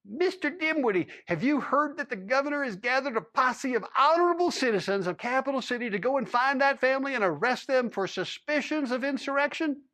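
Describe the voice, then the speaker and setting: A man's voice: very high-pitched, expressive, fairly quick, hardly any pauses, pushed and bright, voice pushed up, full character voice. A man voicing a fussy, prying male town official who questions people indignantly.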